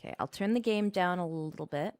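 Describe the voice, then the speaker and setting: A woman's voice: lowish voice, fast, a little mellow. A woman streamer talking casually and rambling in a self-deprecating way while she plays. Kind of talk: casual chat while gaming.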